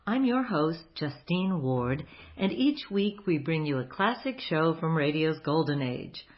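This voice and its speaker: A woman's voice: low-pitched, lively intonation, a little slow. An American woman reading a scripted introduction as the host of a radio program. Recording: dull lo-fi sound.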